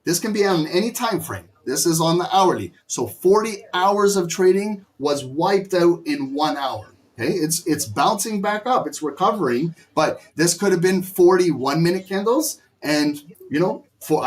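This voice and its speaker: A middle-aged man with a slightly high voice, worn hoarse from talking loudly. He teaches a live trading session with emphatic, scolding energy. He is animated and keeps pushing the lesson forward. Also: normal speed, few pauses. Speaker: trading instructor.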